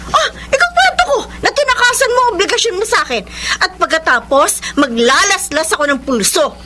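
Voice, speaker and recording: A woman's voice: extremely high pitch, big pitch swings, a little fast, bright, forceful tone, a little hiss or hum, full character voice. An actress playing a desperate, scheming pregnant woman in a Filipino radio drama, melodramatic and confrontational. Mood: threatening, shrill.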